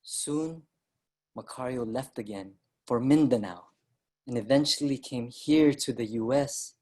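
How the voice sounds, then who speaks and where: Woman acting a slow, low-voiced, earnest immigrant storyteller. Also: darkish tone, a little hiss or hum. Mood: reflective. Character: earnest Filipino immigrant writer, recalling his boyhood. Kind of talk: staged reading, storytelling narration and dialogue.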